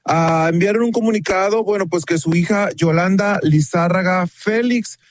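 Mexican radio guy w a really high voice, gossipy, chatty news delivery. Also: moderate pace, dry recording. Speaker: radio show entertainment news presenter.

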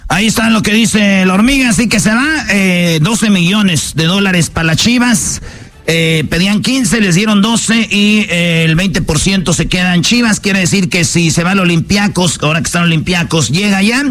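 A man's voice: high voice, moderate pace, fairly bright tone. A Mexican man hosting a sports talk show, joking and teasing in a playful, slangy way.

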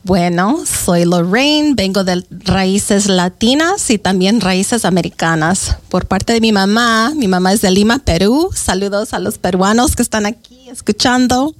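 A woman's voice: mid-range voice, expressive, unhurried, hardly any pauses, no echo. A middle-aged woman, speaking as a guest on a radio show, composed with hesitant fillers. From Peru. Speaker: school district parent educator, radio guest. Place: radio studio.

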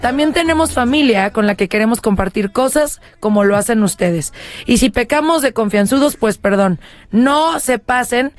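A woman hosting a casual entertainment chat show, talking fast and asking the audience questions. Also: a little high, expressive, no echo. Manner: chatty, asks the audience questions. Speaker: show host.

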